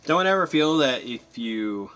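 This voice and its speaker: A man's voice: mid-range voice, sing-song, unhurried, a bit bright. A man giving casual gameplay commentary, rambling and joking as he thinks aloud. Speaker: gamer commenting on a playthrough.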